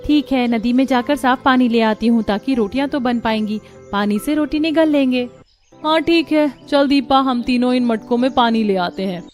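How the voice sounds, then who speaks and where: A woman voicing a chatty young village daughter-in-law in a cartoon, expressive and colloquial. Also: a little high, normal speed, faint music behind, lightly acted. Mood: complaining. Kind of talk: Hindi cartoon story dub.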